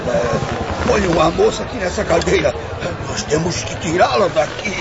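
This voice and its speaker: Actor as a frantic high-pitched tavern keeper man. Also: lively intonation, moderate pace, vocal fry. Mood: panicked. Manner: frantic, shouting orders. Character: panicked, bossy male tavern keeper. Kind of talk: radio drama acting. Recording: dull audio, loud crowd and noise.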